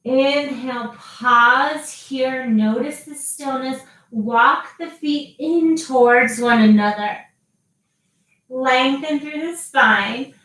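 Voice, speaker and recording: A woman guiding a yoga class with slow, calm cues and long pauses between them. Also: high voice. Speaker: yoga teacher. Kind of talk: guided yoga instruction. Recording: very echoey, voice from a distance.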